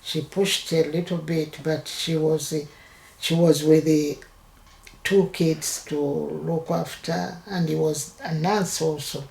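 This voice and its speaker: Older Ugandan woman, low gravelly voice, hesitant, slow, recorded in an echoey room; oral history interviewee. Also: fairly even intonation, dark tone. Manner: hesitant, halting, with many restarts.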